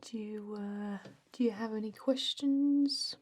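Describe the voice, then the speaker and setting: A woman playing a chatty energy vampire office clerk in an ASMR roleplay, speaking softly and often whispering, with a hesitant, rambling, drily jokey manner. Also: a little high, slow pace. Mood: rambling, resigned. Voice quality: soft, breathy, often whispered. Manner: hesitant, rambling, deadpan workplace small talk.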